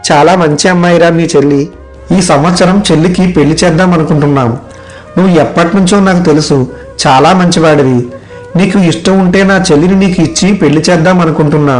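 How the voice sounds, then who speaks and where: A man playing an earnest, loyal young village friend in an animated moral story. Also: a little high, fast, faint music behind, lightly acted. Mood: warm, grateful.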